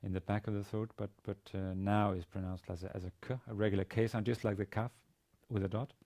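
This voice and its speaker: A man in his forties, a native Danish speaker with a Danish accent, giving an instructional lecture in a halting, hesitant manner with repeated words. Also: on the low side, little pitch movement, warm tone. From Denmark. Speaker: university language teacher. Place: indoor studio with plain grey backdrop.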